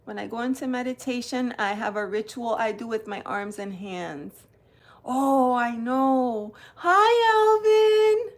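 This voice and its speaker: A woman from New Jersey hosts a live stream, speaking slowly and unhurriedly as she shows cards. Her voice sits a little high, and she keeps greeting viewers by name in a chatty way. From New Jersey, United States. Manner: slow, unhurried delivery. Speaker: tarot channel host. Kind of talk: live-stream unboxing chat.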